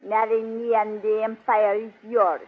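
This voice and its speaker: An actress playing a haughty, vengeful young space princess, imperious, in a 1930s radio serial. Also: female voice, fairly high pitch, little pitch movement, a little slow. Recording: tinny 1930s radio recording.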